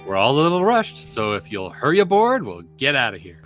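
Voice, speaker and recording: A man's voice: medium pitch, huge pitch swings, a little fast, fairly bright tone, faint music behind. A man playing a cocky, wry smuggler pilot in a casual table read of a script. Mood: brisk.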